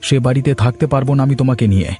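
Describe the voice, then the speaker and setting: Man acting a mellow, fast-talking proud husband. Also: mid-range voice, soft background music, lightly acted. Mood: wounded, proud. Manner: earnest, resolute, reassuring. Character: proud, hopeful poor husband planning a new business. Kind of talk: cartoon dialogue acting.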